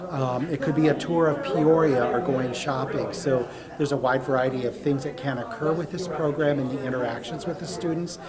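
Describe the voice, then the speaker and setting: A man's voice: medium pitch, fairly even intonation, normal speed, hardly any pauses. Man explaining a program amid crowd noise. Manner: conversational, some hesitations. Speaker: community program organizer. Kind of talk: interview answer.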